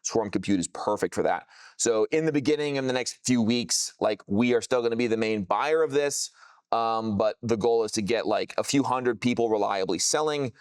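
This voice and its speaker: A man's voice: mid-range voice, moderate pace, clear, resonant tone, no room sound, studio quality. A man giving an informal product demo, explaining technical things casually with plenty of jargon. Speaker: software founder presenting a product.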